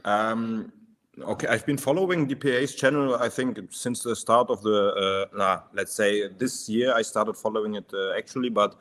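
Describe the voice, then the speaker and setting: A man from Turkey raised in Germany, a first-time caller who is polite and hesitant, with many pauses and ums. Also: on the low side, fairly even intonation, fairly bright tone. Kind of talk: casual online discussion.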